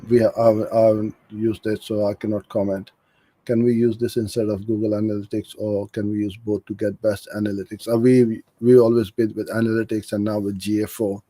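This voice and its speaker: A man's voice: on the low side, flat delivery, a little fast, warm tone, no echo. A middle-aged man from Karachi, Pakistan, coaching in an informal live question-and-answer session, frank and practical as he explains. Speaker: digital marketing agency owner hosting a live Q&A.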